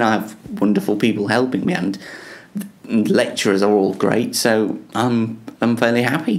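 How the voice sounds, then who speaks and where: A young man hosting a casual show in a self-deprecating way, stumbling over and repeating the starts of his phrases. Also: medium pitch. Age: young adult. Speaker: host. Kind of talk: casual self-interview and chat to viewers.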